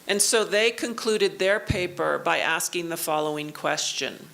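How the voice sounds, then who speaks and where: A woman giving an academic keynote lecture in a university auditorium, speaking in measured phrases and pausing for an interpreter. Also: on the low side, fairly even intonation, creaky, fairly bright tone, slight background noise, low-quality recording.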